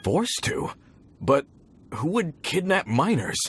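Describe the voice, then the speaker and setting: A man playing a practical, concerned mine foreman in a video game, speaking in a brisk, plain way. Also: a little high, big pitch swings, slight background noise. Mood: concerned, puzzled. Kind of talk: video game character acting.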